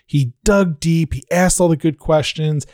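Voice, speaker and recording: A man with a deep voice talks through a relaxed podcast conversation. He is reflective and pokes fun at himself. His voice sits close and dry on the mic. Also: a little slow, no room sound. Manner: reflective, self-deprecating storyteller. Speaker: podcast host. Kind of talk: casual podcast chat.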